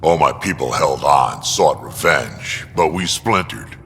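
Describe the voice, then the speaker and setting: Old alien war veteran for a game: extremely deep, slow, gruff and forceful. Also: male voice, lively intonation, exaggerated voice. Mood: somber. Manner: slow, weighty storytelling. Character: battle-worn old alien warrior, gruff but hopeful. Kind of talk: video game character dialogue. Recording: long hall reverb, background noise.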